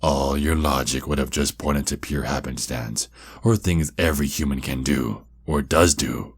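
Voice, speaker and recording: Sinister, taunting ancient vampire kidnapper played by a man, rock-bottom pitch, breathy husk, ASMR drama. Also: full character voice. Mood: mocking. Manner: slow, drawn-out, mocking menace. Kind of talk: ASMR roleplay monologue.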